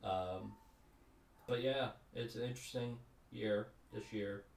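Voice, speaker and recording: A man's voice: fairly low pitch, steady tone, slow pace, creaky, slight background noise. A man co-hosting a podcast in casual chat, rambling and cynical. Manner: conversational, rambling, cynical asides. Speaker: podcast co-host. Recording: roomy sound, mic at a distance.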